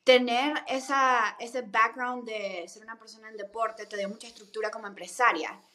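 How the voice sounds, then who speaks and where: Woman podcast host, higher voice, relaxed interviewer using vos forms. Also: normal speed.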